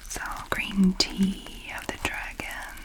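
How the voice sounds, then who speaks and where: A woman with a light Scottish accent, whispering softly and hesitantly close to the microphones as an ASMR creator. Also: slow. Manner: soft unvoiced whisper, rambling and hesitant. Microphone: close to sensitive mics.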